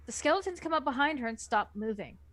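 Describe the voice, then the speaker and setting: Casting: female narrator, slightly high voice, dry close recording, reading a scripted first-person tale. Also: animated, fast, a bit bright, dry recording.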